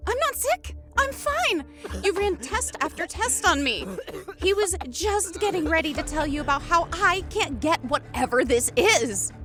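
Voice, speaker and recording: A high-voiced, headstrong young scientist. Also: female voice, very animated, measured pace, heavily acted. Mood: defiant, pleading. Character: devoted, headstrong female biologist on an oil rig. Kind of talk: audio drama acting. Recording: music under the voice.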